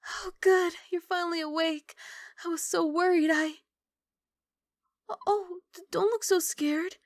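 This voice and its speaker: A woman playing a young, sweet, apologetic giant dragon girl in an intimate ASMR roleplay, soft and coaxing with hesitant rambling. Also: very high-pitched, fairly even intonation, measured pace, no room sound, clean studio sound. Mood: relieved concern. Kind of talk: ASMR roleplay, intimate one-sided dialogue.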